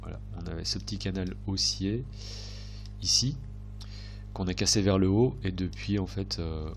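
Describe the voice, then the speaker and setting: Deep-voiced man with a slight cold. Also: moderate pace, gravelly, slight background noise. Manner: hesitant, self-correcting, thinking aloud. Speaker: trading video host. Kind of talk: unscripted chart commentary.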